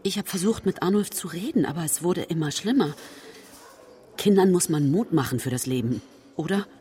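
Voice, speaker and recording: A woman's voice: on the low side, expressive, moderate pace, mellow, natural voice. An actress plays a middle-aged mother in a radio crime drama. She is worried and carries some bitterness about her former husband. The delivery is natural and understated. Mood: bitter, reflective.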